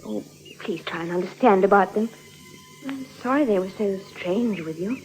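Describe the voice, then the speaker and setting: An actress playing an earnest, anxious young English niece, eager and pleading, in old radio drama style. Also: female voice, mid-range voice, expressive, moderate pace, darkish tone, soft background music, lightly acted. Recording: dull lo-fi old radio recording.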